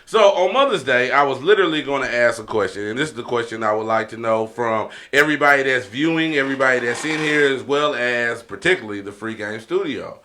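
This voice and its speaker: Male podcast host, bright mid-pitched voice, informal and slangy, steering a casual panel chat. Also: lively intonation, fast talker, few pauses. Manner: informal, slangy, repeats short affirmations. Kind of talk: casual chat, posing questions to panel and viewers.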